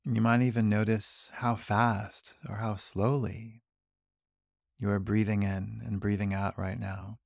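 A man leading a guided meditation, giving gentle, calm instructions with long, unhurried pauses. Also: fairly low pitch, vocal fry, no echo, clean studio sound. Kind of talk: guided body scan meditation.

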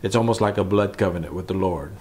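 A man's voice: low voice, quick pace, a little mellow, slight background noise. A man, a pastor, teaching from the Bible in a conversational sermon, repeating phrases for emphasis.

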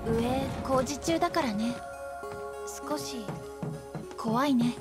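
A woman's voice: high voice, slow. An actress voicing a cheerful, playful young woman in a Japanese video game, with a breezy, teasing way of talking. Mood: gently concerned. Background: background music.

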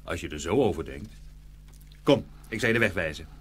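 A man's voice: deep voice, lively intonation, brisk, fairly bright tone, slight background noise. A man playing a shrewd, plain-spoken runaway soldier in a dramatised fairy tale, with a blunt, folksy manner. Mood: matter-of-fact.

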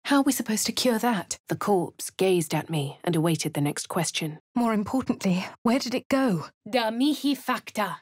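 Posh English woman, husky and dry. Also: mid-range voice, expressive, darkish tone, no room sound. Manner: posh, measured. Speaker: player character voice. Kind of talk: game voice lines and first-person narration. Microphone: studio mic.

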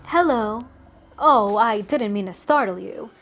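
A woman's voice: on the high side, animated, a little slow, a bit bright, soft background music, heavily acted. A woman voicing a friendly male woodland cryptid, an ancient creature with rotting flesh who chats and reassures with wry asides. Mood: chatty. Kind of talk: scripted storytelling monologue.

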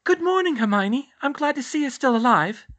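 A woman's voice: high voice, expressive, normal speed, pitched above the performer's own voice. A woman voicing a serene, polite and unruffled teenage girl witch in a storybook.

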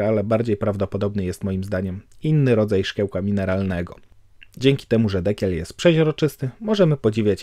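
A man giving a calm, measured voice-over for a watch review, explaining technical details. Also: lowish voice, no echo, studio quality.

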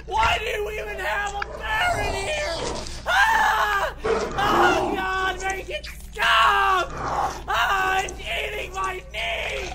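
A man's voice: very high-pitched, lively intonation, very slow, shouting, crowd noise, heavily acted. An actor playing an indignant, panicky man with a melodramatic, exclamatory delivery in a comedy audio drama. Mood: screaming panic. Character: indignant, panicky man, a righteous customer prone to screaming.

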